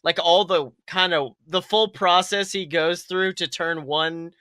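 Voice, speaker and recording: A young man co-hosting a podcast in casual comedic chat, riffing in an animated, profane way. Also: sits high, unhurried, clean tone, no room sound. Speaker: podcast co-host.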